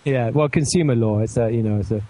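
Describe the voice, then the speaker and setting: A man's voice: medium pitch, fast, dark tone, no room sound. A young man with a southern English accent, telling rambling, self-mocking stories while co-hosting a casual podcast. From southern England. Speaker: guest co-host.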